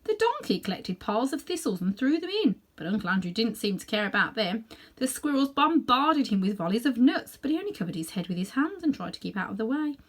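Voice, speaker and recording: A woman reading a children's book aloud in an animated, dramatic way, sometimes softening toward hushed tones. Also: a little high, quick pace. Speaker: storybook reader. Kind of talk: expressive read-aloud narration for children.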